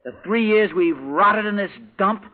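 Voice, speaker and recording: An actor playing a bitter, worn-down young husband with an intense, brooding delivery in a 1940s radio drama. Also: male voice, high-pitched, a little slow. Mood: angry, desperate. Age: young adult. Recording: noisy, tinny old radio recording.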